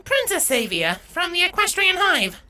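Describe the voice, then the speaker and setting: A woman's voice: very high voice, very animated, moderate pace, bright, forceful tone, slight background noise, voice pushed up, heavily acted. A woman voicing an earnest, easily indignant young changeling princess filly in a thin, girlish voice for a story. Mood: tentative. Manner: hesitant, polite, easily huffy.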